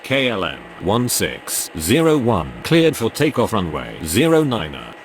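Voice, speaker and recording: Very deep-voiced man as procedural air traffic radio operator. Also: huge pitch swings, measured pace. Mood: businesslike. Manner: clipped, formulaic phrasing. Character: procedural male pilot and air traffic controller. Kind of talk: scripted aviation radio calls.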